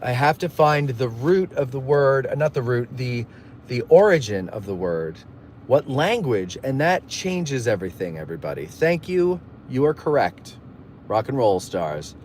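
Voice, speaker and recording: A man's voice: mid-range voice, big pitch swings, measured pace, a little mellow, slight background noise. A man hosting a casual live stream, rambling through stories and jokey asides while reading viewer messages. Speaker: livestream host. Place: in a moving car.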